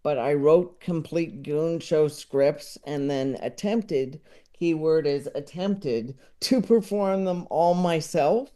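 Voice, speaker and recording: A man chatting casually as a podcast guest, talking in a halting, rambling way. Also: a little high, mellow. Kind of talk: casual interview chat.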